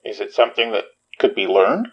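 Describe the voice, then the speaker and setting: Gravelly, skeptical detective. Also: male voice, lowish voice, animated, a little fast, highs cut off. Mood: insistent. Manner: blunt, sardonic. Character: gruff, skeptical male detective. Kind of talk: audio fiction podcast acting.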